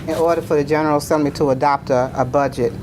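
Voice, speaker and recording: A woman with a low voice, a little raspy from recent illness, formally presents budget slides to a council. The recording has a clear layer of background noise. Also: fairly even intonation, fast. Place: city council chamber. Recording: noisy council chamber audio.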